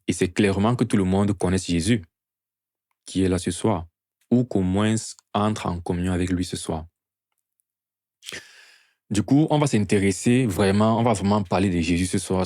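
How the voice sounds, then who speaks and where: A man's voice: low-pitched, darkish tone, no room sound, clean studio sound. A man preaching an evangelistic sermon to a gathering in an earnest, teaching manner, often posing rhetorical questions.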